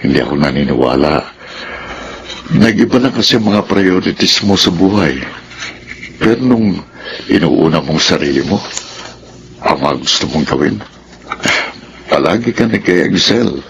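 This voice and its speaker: A man playing a stern but loving middle-aged father in a Filipino radio drama, speaking gravely and earnestly. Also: low-pitched, animated, measured pace, long pauses, a bit bright, full character voice. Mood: tender, firm. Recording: noisy radio drama recording.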